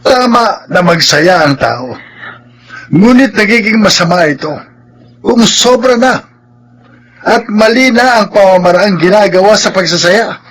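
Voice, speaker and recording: An actor in a Filipino radio drama playing a concerned, protective older uncle who admonishes earnestly. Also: male voice, high-pitched, unhurried, pauses often, a bit bright, poor audio. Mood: grave, admonishing.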